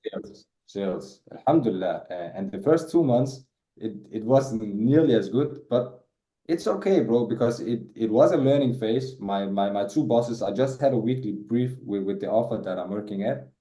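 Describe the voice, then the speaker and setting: A man's voice: lowish voice, lively intonation, normal speed, dark tone, echoey room, low-quality recording. A man, answering interview questions casually and repeating words as he talks. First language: Danish. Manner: repeats words, says "bro" often. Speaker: guest, sales closer.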